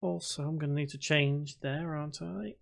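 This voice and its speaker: A man's voice: fairly high pitch, fairly even intonation, normal speed, gravelly. A man hosting a casual live stream, thinking aloud as he codes and reading chat questions aloud. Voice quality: self-described boring voice. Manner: talks to himself while typing, reads chat aloud.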